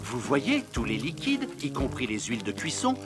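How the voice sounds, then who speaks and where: A man's voice: mid-range voice, huge pitch swings, moderate pace. A man playing a pompous, bumbling cartoon father and amateur scientist who is overconfident and easily fretful. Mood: pedantic, confident. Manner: pompous explanations turning to fretful dismay. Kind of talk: children's cartoon acting. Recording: loud music behind the voice.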